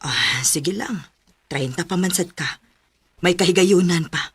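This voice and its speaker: A woman playing a gentle, concerned middle-aged mother in a radio drama, husky, with a Cebuano Filipino accent. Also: on the low side, unhurried, full character voice.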